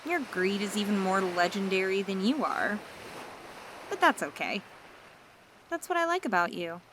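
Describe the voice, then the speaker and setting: A woman's voice: medium pitch, normal speed, a little hiss or hum, lightly acted. Woman playing a teasing yandere mermaid. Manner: teasing, flattering, coaxing. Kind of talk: ASMR roleplay audio.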